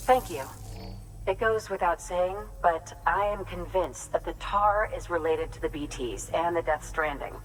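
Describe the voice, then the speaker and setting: Game role, for an actress: erudite female researcher, lowish voice, formal expository delivery, grateful temper. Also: measured pace, natural voice, low-quality recording. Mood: earnest, musing. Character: studious, grateful female scientist researching tar and extinction. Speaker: video game character. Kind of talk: dramatic game dialogue, expository.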